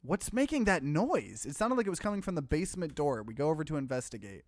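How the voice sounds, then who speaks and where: A man doing a let's play, reading game text aloud and breaking in with animated, bewildered reactions. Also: on the high side, fast talker, dry recording.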